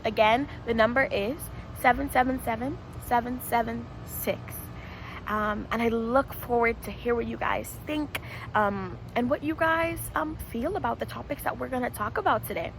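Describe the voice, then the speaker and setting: A woman's voice: a little high, moderate pace, creaky, noisy background. A woman from the Bahamas, a licensed counselor, giving an encouraging, interactive talk to students and repeating points for emphasis. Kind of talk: presentation to students.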